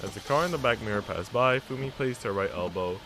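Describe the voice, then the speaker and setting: A man's voice: lowish voice, expressive, brisk, noisy background. A man live-streaming, reading a visual novel aloud and chatting casually with viewers in a slangy, teasing way.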